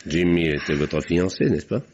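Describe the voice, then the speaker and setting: An actor playing a courteous, insistent doctor turned sleuth, questioning in measured, articulate old radio mystery style. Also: male voice, deep voice, animated, a little mellow, slightly rough, heavily acted. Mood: probing, insistent. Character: courteous, probing doctor turned amateur detective. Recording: muffled old radio drama recording.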